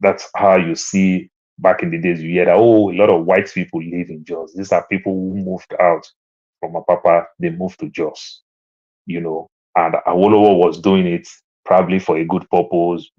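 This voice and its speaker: A man's voice: flat delivery, moderate pace. A man from Lagos with a Nigerian accent, speaking as a panelist in an online discussion and telling stories from his childhood, his voice rising when he drives a point home. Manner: storytelling, climbs higher and louder when making a point. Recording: dull lo-fi online audio.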